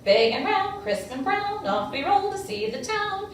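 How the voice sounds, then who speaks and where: Woman chanting as cheeky, high-pitched runaway pancakes, echoey. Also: big pitch swings, unhurried, exaggerated voice. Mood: gleeful, taunting. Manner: bouncy sing-song taunting chant. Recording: echoey room, distant mic, faint noise.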